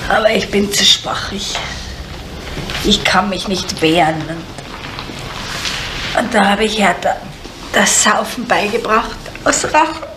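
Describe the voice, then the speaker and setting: An actress playing a bitter, desperate middle-aged woman in a crime radio drama, halting and repeating words, sometimes dropping into whispers. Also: medium pitch, lightly acted. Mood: wounded, vengeful. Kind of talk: naturalistic German radio crime drama acting. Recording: noisy 1970s radio play recording.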